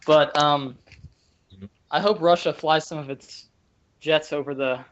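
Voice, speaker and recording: A man's voice: a little high, fairly even intonation, measured pace, a bit bright. A young man in a casual gaming voice chat, plain-spoken, asking practical questions. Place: online voice call. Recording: lo-fi voice chat audio.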